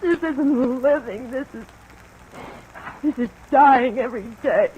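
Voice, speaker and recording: A world-weary, despairing woman speaks very slowly in a high, emotional voice. The sound is a dull old radio crime drama recording. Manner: slow, breaking, emotional delivery. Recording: muffled, noisy vintage radio recording.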